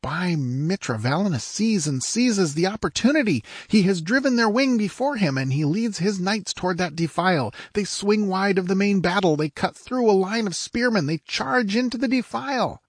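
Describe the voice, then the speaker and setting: A man voicing an excitable young squire in a fantasy audiobook, declaiming breathlessly. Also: high-pitched, moderate pace, few pauses, dry recording, studio quality, voice pushed up. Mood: awed, urgent. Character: young squire, frantic excitable lookout at a battle. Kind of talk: dramatic fantasy audiobook character voice.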